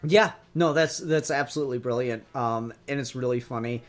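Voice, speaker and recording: A man's voice: medium pitch, brisk, faint music behind. A man co-hosting a podcast, chatting casually and enthusiastically as a fan reviewer, with plenty of swearing.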